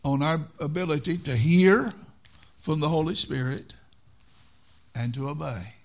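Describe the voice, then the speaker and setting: A man's voice: fairly high pitch, a little slow, gravelly. An older man preaching a sermon in an earnest way, repeating key phrases for emphasis.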